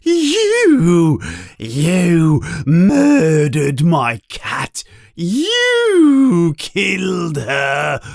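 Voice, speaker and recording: A man voicing a distraught, furious older male school caretaker who yells and screeches accusations in a storybook reading. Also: high-pitched, huge pitch swings, drawn-out words, vocal fry, no echo, studio quality, pitched above the performer's own voice, exaggerated voice. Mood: screeching fury.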